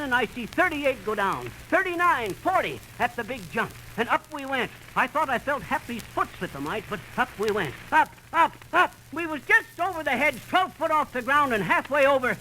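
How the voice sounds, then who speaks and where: A man's voice: very high voice, big pitch swings, normal speed, sharp tone, full character voice. An actor playing a boastful rustic man with a rural American accent, telling tall tales in an old-time radio comedy. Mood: excited narrating. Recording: noisy vintage radio recording.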